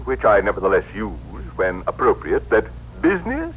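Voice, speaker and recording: Pompous male boss with animated intonation. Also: on the low side, normal speed. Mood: lecturing, unsentimental. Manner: precise, pompous, lecturing. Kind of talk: 1960s radio acting. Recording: faintly noisy old radio broadcast.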